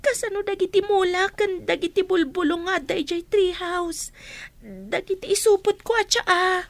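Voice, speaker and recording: An earnest young village girl in a radio drama. Her voice is extremely high and girlish, with an anxious edge. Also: normal speed, no echo. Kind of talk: Ilocano radio drama acting.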